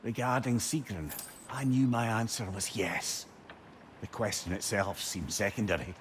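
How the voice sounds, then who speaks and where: A man's voice: on the low side, measured pace, close to an everyday voice. An actor playing a witty, wistful old male advisor with a Scottish accent, telling stories lyrically and wryly in a video game. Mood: reflective. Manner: lyrical, wry, conversational. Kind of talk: storytelling video game dialogue. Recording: ambient noise behind voice.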